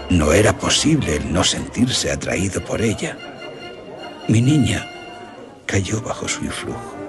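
An aging, aristocratic general in a radio play. His slightly low voice swings expressively through formal, measured phrases, theatrical and courtly, with music clearly playing underneath. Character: aging aristocratic general recounting a haunting memory, courtly, insistent. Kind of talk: Spanish radio drama, literary narration and dialogue.

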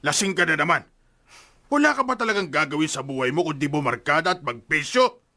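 A man's voice: fairly high pitch, lively intonation, fairly quick, pushed and bright. An actor playing an angry, exasperated middle-aged father in a radio drama, stern and confrontational as he scolds. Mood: scolding.

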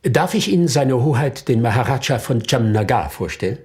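An actor playing a cautious gentleman in a radio play, speaking in a dry, formal manner. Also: medium pitch, expressive, a little fast, natural voice. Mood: dry, polite.

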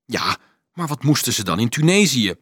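A man's voice: mid-range voice, sing-song, fairly bright tone, husky, dry recording, clean studio sound. A man voicing an indignant, disbelieving teenage boy who questions insistently in a dramatised audiobook reading. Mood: urgent questioning. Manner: urgent, insistent questioning, halting with shock.

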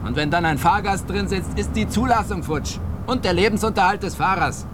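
An actor playing a wary city cab driver in a German radio drama. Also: male voice, high voice, fairly quick, background noise, lightly acted. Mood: matter-of-fact, warning.

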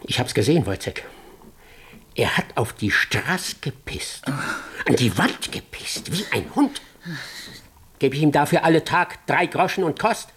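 An actor playing a pompous, fanatical doctor and scientist in a German radio drama, excitable and scolding, declaiming and repeating phrases emphatically. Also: male voice, fairly high pitch, unhurried, long pauses, slightly rough, exaggerated voice. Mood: scolding, indignant.

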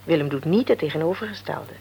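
A wise, patient mother in a radio play, middle-aged and calm. Her voice is low and husky, with a breathy edge. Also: fast, heavily acted. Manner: patient, explanatory. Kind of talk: radio drama acting.

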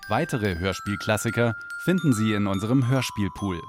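A man's voice: on the low side, lively intonation, normal speed. A man reading a radio promo as an announcer. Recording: loud chiming music behind voice. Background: glockenspiel and chime music.

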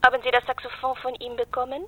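An actress playing a formal, imperious French businesswoman with a French accent, measured and politely insistent in a radio drama. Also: high voice, monotone, slightly rough, lo-fi sound. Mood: coolly insistent.

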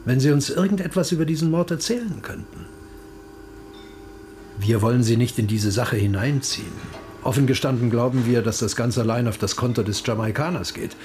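An actor playing a sly, needling police interrogator in a radio crime drama, ironic and mock-casual. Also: male voice, medium pitch, a little fast, a little mellow, slight background noise, natural voice. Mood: sly, probing.

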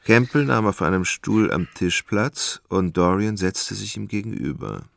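Very deep, gravelly male narrator. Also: lively intonation. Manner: measured, storytelling. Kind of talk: literary scripted narration in a radio drama.

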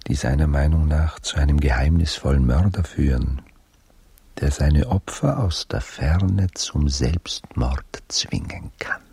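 An actor playing a troubled aristocratic officer who narrates in a formal, measured, gravelly and whispery voice. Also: male voice, very deep voice, lively intonation, warm tone, no room sound, full character voice. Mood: brooding. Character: troubled aristocratic officer, baron, brooding first-person narrator. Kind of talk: radio drama acting, introspective monologue.

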